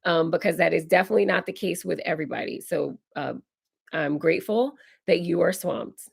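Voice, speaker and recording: Raspy lady in her 40s still getting over being sick, low gravelly voice, chatty live q&a. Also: fairly quick. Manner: chatty, reads viewer comments aloud and answers warmly. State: hoarse, recovering from illness. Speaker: decor channel host. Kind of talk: live stream Q&A chat.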